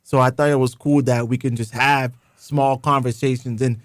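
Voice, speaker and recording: A man's voice: mid-range voice, little pitch movement, moderate pace, no room sound, studio quality. A man talking informally and slangily as part of a group podcast chat. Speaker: podcast cast member. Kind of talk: casual chat. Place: community media studio.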